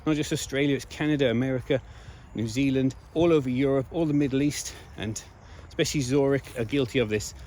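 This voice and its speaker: A slightly hoarse man speaking in a casual, emphatic monologue to viewers, repeating key phrases for stress. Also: mid-range voice, quick pace, few pauses, darkish tone. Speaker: video blogger.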